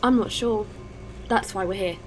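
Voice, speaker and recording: A woman's voice: medium pitch, normal speed, soft background music, close to an everyday voice. A woman playing a friendly, caring alien spacefarer in a science-fiction audio drama, talking in a casual, considerate way. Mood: businesslike.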